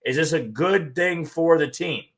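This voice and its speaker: A man hosting a sports podcast with a hoarse voice that he is losing, giving frank, plain opinions. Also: a little high, unhurried. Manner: talks straight through with frank, plain opinions. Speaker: podcast host.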